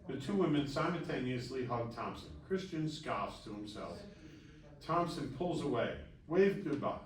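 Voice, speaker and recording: A man narrates screenplay action lines at a live table read. His mid-pitched voice carries from away from the mic in an echoey room, with faint noise behind it. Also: lively intonation, normal speed, recorded from a distance. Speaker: stage-direction reader. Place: live event room before an audience.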